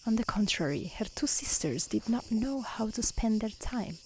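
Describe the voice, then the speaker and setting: A woman softly reading a fairy tale aloud in a gentle, hushed ASMR style that often slips toward a whisper. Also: on the high side, lively intonation, moderate pace, low-quality recording. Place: outdoors in nature. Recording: faint outdoor hiss with crickets and insects.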